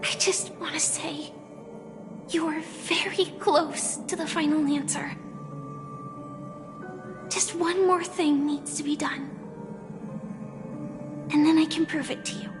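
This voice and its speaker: A tender, wistful young woman from a video game. Her voice sits high and soft, with breathy edges. She speaks slowly and gently while music plays clearly behind her. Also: fairly even intonation. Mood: gentle, reassuring. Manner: soft, breathy, unhurried delivery. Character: gentle, wistful young woman with a secret armored alter ego.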